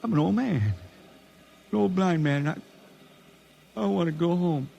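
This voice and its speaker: Halting, homesick old blind spaceman. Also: sits high, creaky, warm tone, full character voice. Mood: pleading. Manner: halting folksy drawl, repeats himself, pleading. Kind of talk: old-time radio drama acting. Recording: noisy vintage radio recording.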